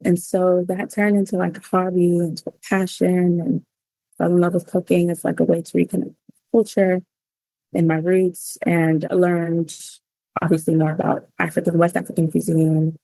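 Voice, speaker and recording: Low, creaky-voiced woman, reflective and even-toned. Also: moderate pace, dry recording, rough recording. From Cameroon, then the United States. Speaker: interview guest, cookbook author. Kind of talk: personal storytelling in a conversational interview.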